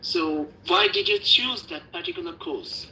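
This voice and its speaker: A man from Dhaka with a Bangladeshi English accent, speaking haltingly in a practice speaking session. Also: high voice, huge pitch swings, creaky, bright, forceful tone, a little hiss or hum. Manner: halting, many fillers and restarts. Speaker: practice student taking examiner turn. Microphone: video call. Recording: echoey room on a call.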